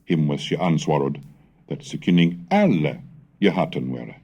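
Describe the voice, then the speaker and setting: A man giving a formal, measured scripted reading, declamatory, in the style of an educational recitation of old texts. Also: extremely low pitch, dark tone, highs cut off. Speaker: reader and narrator of a language history recording.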